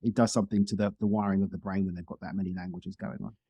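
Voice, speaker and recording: A man's voice: sits low, flat delivery, racing, breathy edge, no echo, highs cut off. A man talking casually as a guest in a podcast interview, explaining things in talkative detail. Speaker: podcast guest, speaker and coach.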